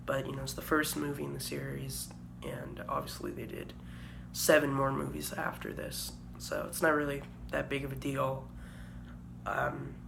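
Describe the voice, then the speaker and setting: A young man vlogging casually, hoarse from a cold and a sore throat, rambling with frequent ums and uhs. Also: on the high side, fairly bright tone, slight background noise. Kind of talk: casual vlog review.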